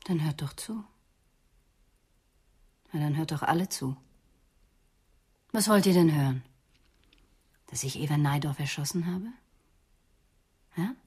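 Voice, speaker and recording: Bitter cornered murderess, low pitch, very breathy and raspy, defiant, intense, radio crime drama. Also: female voice, slow pace, pauses often, darkish tone, exaggerated voice. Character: cornered, bitter female psychoanalyst who has killed.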